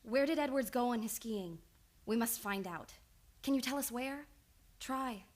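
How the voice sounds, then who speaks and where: A woman's voice: a little high, unhurried, close to an everyday voice. A woman playing an earnest, insistent psychoanalyst in old time radio drama, urgent and probing. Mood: analytical, urgent.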